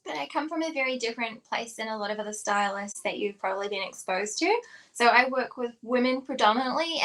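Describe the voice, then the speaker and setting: A woman's voice: a little high, rough recording. A young woman with a mixed New Zealand and Australian accent, co-hosting a podcast and explaining her ideas analytically. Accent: New Zealand-Australian mix. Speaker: co-host, stylist. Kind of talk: casual podcast chat.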